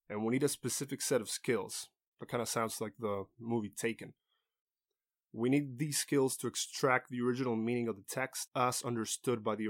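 A man hosting a podcast alone, explaining a subject in an earnest, explanatory manner with small restarts. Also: mid-range voice. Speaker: podcast host. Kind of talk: solo teaching talk.

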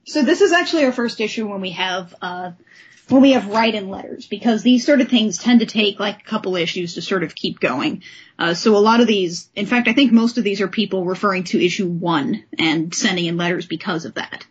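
A woman's voice: mid-range voice, brisk, highs cut off. A woman co-hosting a podcast, chatting casually and enthusiastically about comics. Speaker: podcast host. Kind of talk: casual comic book discussion.

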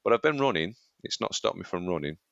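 A man with a very deep voice, made husky by a cold, chatting on a podcast and laughing at his own jokes. The sound is dry and a little dull, close to the mic. His talk is loose and self-mocking. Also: animated, fast, fairly bright tone, no room sound. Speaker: podcast co-host, aspiring runner. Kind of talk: casual chat. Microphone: studio microphone.

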